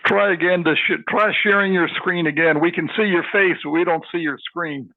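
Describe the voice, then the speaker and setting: A man's voice: high-pitched, moderate pace, gravelly, narrow, tinny audio. A man moderating an online workshop, encouraging and upbeat with the speakers.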